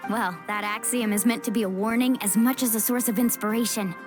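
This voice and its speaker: Young warrior woman for a game, female actor: casual, supportive, high-pitched, music underneath. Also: steady tone, a little fast, natural voice. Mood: earnest. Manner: casual, encouraging, plain-spoken. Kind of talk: video game voice acting. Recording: music behind the voice.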